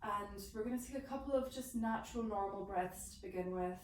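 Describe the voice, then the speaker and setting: A woman leads a gentle voice lesson in a soft, wandering way, her voice still low from the morning. She is heard from a little way off in a room with a clear echo. A faint hum of ambience sits behind her. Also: a little fast. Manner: soft, rambling and reflective, with many fillers. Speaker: singing and voice teacher. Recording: echoey room, mic at a distance.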